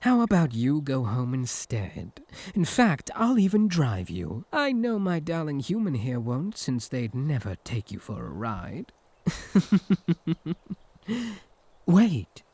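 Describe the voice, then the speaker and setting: A man's voice: mid-range voice, wildly swooping pitch, slow, a little mellow, slightly rough, no echo, exaggerated voice. A British man voicing a smug, possessive incubus suitor in a comedic ASMR roleplay, sarcastic and flirtatious. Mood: smug, taunting.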